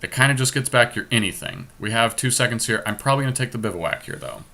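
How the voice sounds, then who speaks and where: A man's voice: medium pitch, animated, fast, a crowd in the background. A man hosting a casual card game podcast, thinking aloud and explaining his choices with opinionated enthusiasm.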